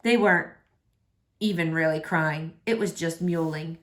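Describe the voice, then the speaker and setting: A woman reading the part of a sullen, heartbroken adolescent boy in terse, low-key replies. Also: measured pace, a bit bright. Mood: sulky.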